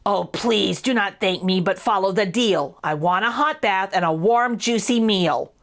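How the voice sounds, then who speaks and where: A woman voicing a cynical, world-weary male street mouse in a picture book, gruff and guarded. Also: mid-range voice, unhurried, natural voice. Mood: demanding.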